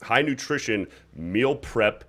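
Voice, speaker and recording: A man's voice: mid-range voice, animated, slow pace, fairly bright tone. A man hosting a casual livestream, reading super chats aloud and chatting loosely with a guest, with some swearing. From United States. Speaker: livestream host.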